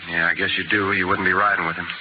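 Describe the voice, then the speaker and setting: An actor playing a cocky, menacing young rancher's son who drawls taunts, in the style of a 1950s radio western. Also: male voice, low-pitched, flat delivery, racing, lightly acted. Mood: cautious menace. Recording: noisy muffled old radio recording.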